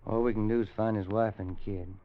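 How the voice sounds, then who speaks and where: An actor playing a weathered, plain-spoken old cowboy rancher with an American Western drawl and folksy rustic grammar in a radio western. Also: male voice, on the low side, fairly even intonation, a little fast, natural voice. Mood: somber. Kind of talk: 1950s radio western acting and narration. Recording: muffled old radio recording with music.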